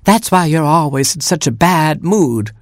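A storyteller voicing a bossy, quick-tempered mother hen who clucks and scolds. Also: low voice, lively intonation, no room sound, studio quality, pitched above the performer's own voice. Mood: scolding.

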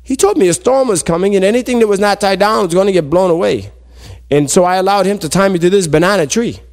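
A man voicing a lazy, gullible, greedy old uncle in a folktale, with whining, drawn-out pleas and repeated words. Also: high-pitched, a little mellow. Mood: puzzled, coaxing. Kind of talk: animated folktale storytelling.

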